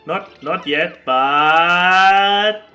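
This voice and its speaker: Bright-voiced, halting man hosting a podcast. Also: sits high, faint music behind. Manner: halting, stammering, repeats words. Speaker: podcast host. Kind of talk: casual video podcast chat.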